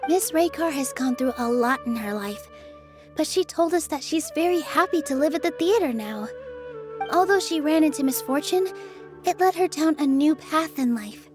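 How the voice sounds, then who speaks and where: Young woman performer, game character, high sweet pitch, gentle, encouraging, sincere, faint music. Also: animated, moderate pace. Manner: sympathetic, sincere, soothing. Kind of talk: scripted video game voice acting. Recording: music behind the voice.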